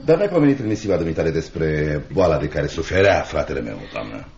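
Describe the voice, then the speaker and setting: An actor playing a cold, miserly older uncle in a Romanian radio play, sarcastic and dismissive, muttering repetitions. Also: male voice, extremely low pitch, big pitch swings, creaky, darkish tone, full character voice. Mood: mocking, callous. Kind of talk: Romanian radio theatre acting. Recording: dull, band-limited old radio drama recording.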